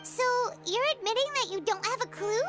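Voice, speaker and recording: A woman voicing a tiny, childlike, chatty fairy companion in a video game, with a squeaky voice. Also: fairly quick, over music. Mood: teasing. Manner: refers to self in third person.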